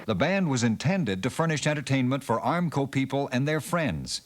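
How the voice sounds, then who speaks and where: A man's voice: mid-range voice, moderate pace, slight background noise. A man narrating a documentary in a formal, scripted way. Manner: formal, lively delivery of dates and facts.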